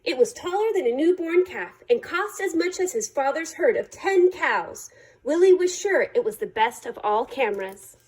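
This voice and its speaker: Female storyteller for children's picture book: high voice, lively swooping intonation, deliberate dramatic phrasing. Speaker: reader of a children's picture book, library staff. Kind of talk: expressive read-aloud storytelling for children.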